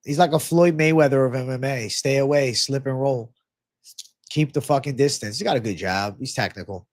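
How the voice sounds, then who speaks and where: A man's voice: medium pitch, normal speed, a little mellow. Laid-back, congested man with a stuffy nose. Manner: laid-back, slangy, swears freely, calls viewers bro. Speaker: livestream host reading viewer comments. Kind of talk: casual livestream Q&A on fight betting.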